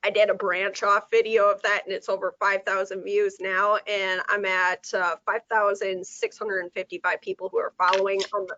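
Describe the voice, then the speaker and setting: A woman with a slightly hoarse, sore throat, chatting at length on a video call and laughing easily. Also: medium pitch, fairly bright tone, dry recording. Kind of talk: casual video call update. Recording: dull lo-fi video call audio.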